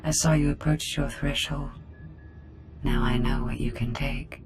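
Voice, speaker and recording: A detached male operative voiced by a man in a video game. He is clinical and precise at a middle pitch, with music playing clearly behind him. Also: little pitch movement, a little slow, close to an everyday voice.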